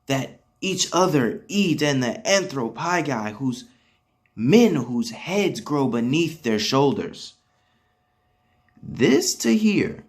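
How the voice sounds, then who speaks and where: A man performing a proud, earnest soldier pleading his case in a measured, declamatory Shakespearean stage monologue with dramatic pauses. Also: medium pitch, voice pushed up. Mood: earnest, fond.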